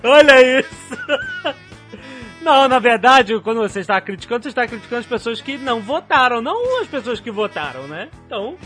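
A Brazilian man hosting a podcast with animated, exclamatory banter. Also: extremely high pitch, fairly quick. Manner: exclamatory, laughs often. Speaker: podcast host. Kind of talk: animated podcast banter, reading listener emails. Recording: music behind the voice. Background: background music.

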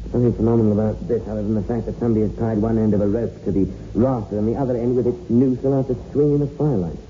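An actor playing a brisk, rational psychic researcher in a 1960s radio horror play, matter-of-fact and inquisitive. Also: male voice, fairly low pitch, steady tone, mellow, natural voice. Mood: sceptical, firm. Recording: dull, faintly noisy old radio recording.

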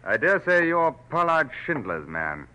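Posh English villain: purring, bright-edged, pompous, humorless menace, vintage radio drama sound. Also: male voice, a little high, big pitch swings, a little slow, full character voice. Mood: cool, menacing. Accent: upper-class English. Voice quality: purring, flaccid. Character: haughty, wary male criminal with a gun. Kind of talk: 1940s radio drama acting. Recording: old 1940s radio recording.

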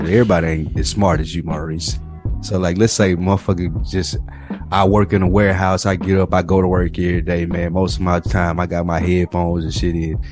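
A man co-hosting a podcast, putting probing questions in informal, slangy casual chat. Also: low voice. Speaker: podcast co-host. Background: music with guitar.

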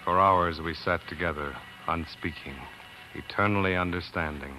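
An actor playing an earnest, idealistic young doctor in 1940s radio drama, solemn and measured. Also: male voice, deep voice, rough recording. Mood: solemn, reflective. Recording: 1940s radio recording, loud music behind.

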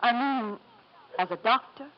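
A woman's voice: on the high side, measured pace, close to an everyday voice. An actress playing a genteel, anxious wife and devoted mother in a 1950s radio drama, refined and hesitant. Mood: politely pleased. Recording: muffled, noisy 1950s radio broadcast.

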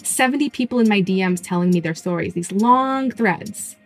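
A woman's voice: mid-range voice, moderate pace, faint music behind. Playful woman podcast host. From United States. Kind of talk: conversational podcast chat.

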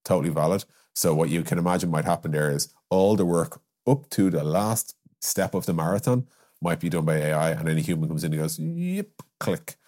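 Guy with really low voice, talks quick, casual biz chat, lots of examples. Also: animated, mellow. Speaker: podcast guest, software company strategist. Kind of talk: casual business discussion.

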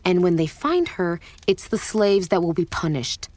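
A woman's voice: lowish voice, sing-song, moderate pace, darkish tone, no room sound. An actress playing a wary, blunt, incredulous woman in an audio drama. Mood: alarmed, skeptical. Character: wary, blunt young woman, tired and angry.

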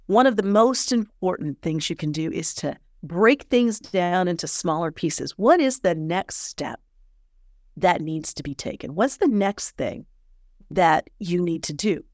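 A woman's voice: fairly low pitch, lively intonation, measured pace, no room sound, clean studio sound. A woman hosting a motivational podcast as a personal coach, talking in a candid, earnest and encouraging way, slightly stuffy from sinus pressure.

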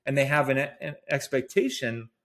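A man's voice: mid-range voice, moderate pace, fairly bright tone. A man co-hosting a casual podcast about investing, chatty and upbeat, laughing often. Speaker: podcast co-host.

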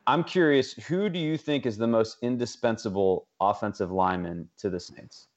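Low-voiced male sports host, muffled audio. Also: normal speed. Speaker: sports radio host, interviewer. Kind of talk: casual sports talk interview.

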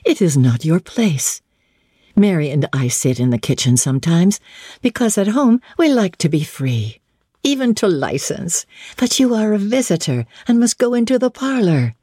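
A woman voicing a kindly but authoritative young Englishwoman in a classic novel reading, brisk, genteel and commanding. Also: fairly low pitch, big pitch swings, mellow, breathy edge, no room sound, studio quality.

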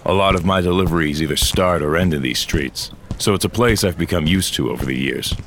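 An actor playing a weary, stubborn old cyborg courier in a science-fiction audio drama, gruff, terse and dry. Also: male voice, sits low, a little fast, fairly bright tone, a little hiss or hum. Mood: dry, reflective. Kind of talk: audio drama acting and first-person narration.

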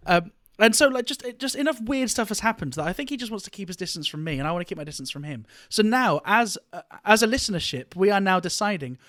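A man co-hosting a casual podcast, telling stories in an animated, joking, mildly profane way. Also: sits high, fast, hardly any pauses, no room sound, studio quality. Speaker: podcast co-host.

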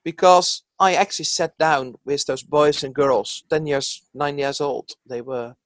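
A man's voice: a little high, steady tone, measured pace, fairly bright tone, no echo. A middle-aged man from the Netherlands with a Dutch accent, speaking earnestly and thoughtfully as a psychologist guest in an interview. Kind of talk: reflective interview talk.

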